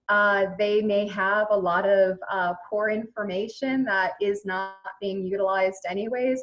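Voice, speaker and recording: A woman speaking as an expert guest in an interview, explaining things in a measured, conversational way. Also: medium pitch, poor audio. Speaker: interview guest, evaluation consultant.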